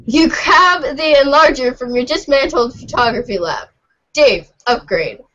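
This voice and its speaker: Woman, very high voice, playful mock-serious narrator, amateur script reading, slightly muffled sound. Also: animated, unhurried, pushed and bright. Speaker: narrator, regular of the show. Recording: muffled lo-fi home recording.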